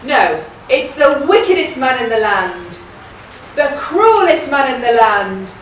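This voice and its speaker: A woman's voice: high voice, very animated, slow pace, full character voice. A woman playing a boisterous merry forest outlaw in a stage pantomime, declaiming in an exaggerated way. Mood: proclaiming. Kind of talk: broad pantomime stage acting. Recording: echoey hall from far off, faint noise.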